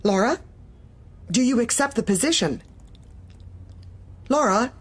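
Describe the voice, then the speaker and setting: An actress playing a formal, coolly polite and ruthless corporate manager in a satirical audio drama. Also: female voice, fairly high pitch, expressive, measured pace, slightly rough. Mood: formal, measured. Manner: clipped, formal, coolly officious.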